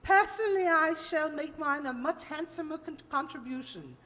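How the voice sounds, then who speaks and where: A woman plays an imperious, breezy English dowager for a radio play. Her high voice swoops grandly and emphatically, ringing in a reverberant hall. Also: expressive, moderate pace, pushed and bright. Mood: breezy, triumphant. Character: rich English dowager, breezy, commanding, delighted. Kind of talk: old-time radio drama acting. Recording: echoey hall, long reverb.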